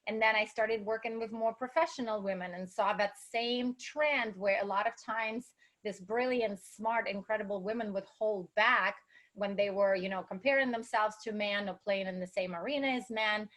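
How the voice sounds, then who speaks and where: A woman from Russia speaks with a clear Russian accent as a guest on an interview. Her voice sits at a middle pitch, and she talks in an upbeat, motivational way, like a speaker trying to inspire her listeners. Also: fairly even intonation, moderate pace, a bit bright. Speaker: guest, entrepreneur. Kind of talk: interview conversation.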